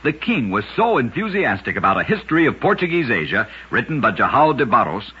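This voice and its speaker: A man's voice: mid-range voice, big pitch swings, fairly quick, breathy edge, dull audio. A man narrating short scripted stories as a radio host, with a dramatic, emphatic delivery, on a vintage radio recording.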